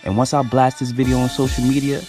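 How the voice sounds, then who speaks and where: An actor playing a cocky, spiteful young lover with a bruised ego in an audio drama, slangy and taunting. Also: male voice, mid-range voice, level tone, fast talker, mellow, close to an everyday voice. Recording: music bed behind the voice.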